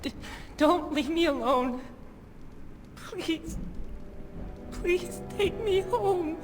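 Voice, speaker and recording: An actress playing a frightened, traumatized young elf woman in a fantasy video game, fragile and halting. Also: very high voice, expressive, faint music behind, heavily acted. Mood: pleading, frightened.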